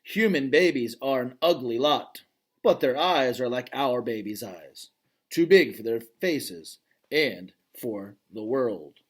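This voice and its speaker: A man reading a children's novel aloud as its gentle, thoughtful male gorilla narrator, in a calm, reflective way. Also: mid-range voice, slow pace, breathy edge. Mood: wistful.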